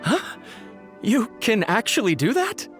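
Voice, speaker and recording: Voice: medium pitch, big pitch swings, measured pace. A loyal, worried servant. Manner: deferential, concerned. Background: game music.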